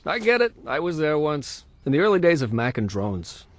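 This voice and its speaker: A man voicing a self-assured tech entrepreneur and mentor in a cartoon game, casual and chummy with a husky voice. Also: fairly high pitch, big pitch swings, moderate pace. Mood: breezy, reminiscing. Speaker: voice actor in a game cutscene. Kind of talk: animated cartoon game acting.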